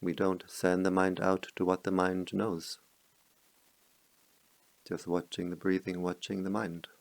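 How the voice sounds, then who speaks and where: A middle-aged man leads a guided meditation in a slow, calm, softly spoken way, with long pauses between phrases. His voice sits very low and carries a gravelly texture. The sound is close and dry, with no room echo. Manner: chants Pali verses in a low murmur. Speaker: meditation teacher.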